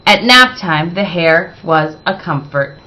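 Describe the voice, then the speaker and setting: Female picture-book narrator, slow and deliberate, bright tone, expressive with dramatic emphasis. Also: on the low side, poor audio. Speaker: read-aloud storyteller.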